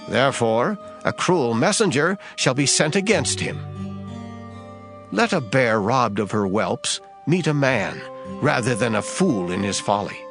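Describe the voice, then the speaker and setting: A man reads scripture as dramatized narration, solemn and measured. His pitch moves expressively through each emphatic phrase, with music playing clearly underneath. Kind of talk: dramatized King James Bible reading. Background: background music.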